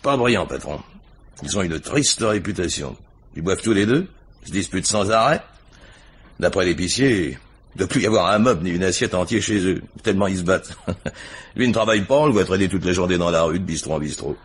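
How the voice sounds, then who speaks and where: Husky, fast-talking police inspector. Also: male voice, sits low, animated. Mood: animated, amused. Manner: hesitant, informal reporting with wry asides. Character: deferential, chatty male police inspector, diligent subordinate. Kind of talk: French radio crime drama acting.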